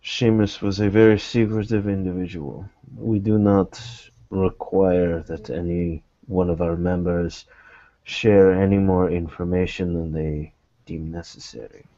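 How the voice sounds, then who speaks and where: A man playing a formal, guarded private investigator in a tabletop roleplaying game, speaking in measured, deliberate phrases. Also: deep voice, fairly even intonation, dark tone, highs cut off. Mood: guarded, matter-of-fact.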